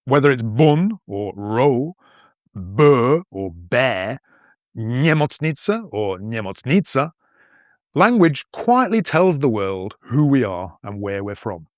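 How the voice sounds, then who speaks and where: Lively posh-northern English man, dry sound. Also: medium pitch, takes it slowly, no echo. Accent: English, mostly posh with northern traces. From northern England. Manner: playful, explanatory, lively storytelling. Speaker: podcast host and language teacher. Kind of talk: scripted educational podcast narration.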